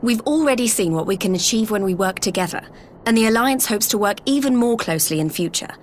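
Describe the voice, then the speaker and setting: An actress playing an earnest, friendly young envoy in a video game, speaking politely and encouragingly. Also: female voice, mid-range voice, animated, quick pace, slight background noise, natural voice. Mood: hopeful, earnest. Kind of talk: video game cutscene voice acting.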